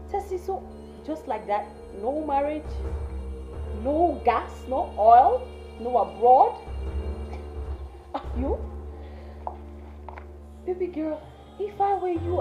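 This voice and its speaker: A woman's voice: high voice, exaggerated voice. An actress playing a spiteful young woman who mocks and threatens her own sister. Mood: mocking. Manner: mocking, sneering, threatening. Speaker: actress in a family drama. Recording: echoey room with music behind.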